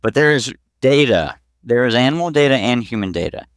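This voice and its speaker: A man's voice: mid-range voice, expressive, a little fast, dry recording, clean studio sound. A man in his forties giving informal, conversational expert explanations with everyday examples, speaking into a headset on a video call. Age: 40s. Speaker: pediatric urologist, podcast guest. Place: small clinic office, video call. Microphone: headset boom mic.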